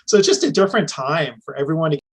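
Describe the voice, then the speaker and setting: A man's voice: a little high, breathy edge. A middle-aged man from the United States, a podcast guest telling stories in casual, chatty conversation. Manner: chatty storytelling, with restarts.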